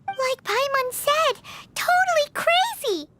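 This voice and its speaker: A woman voicing a tiny, childlike fairy companion in a video game, squeaky, cheeky and blunt. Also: very animated, takes it slowly, noisy background, exaggerated voice. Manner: squeaky, blunt, speaks of self in third person.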